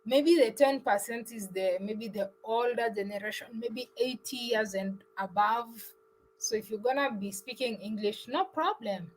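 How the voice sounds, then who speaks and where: A woman's voice: fairly high pitch, moderate pace, slight background noise, low-quality recording. A young Kenyan woman chatting loosely on a live travel stream, answering viewers' comments as she goes. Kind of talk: casual live stream chat, reading comments.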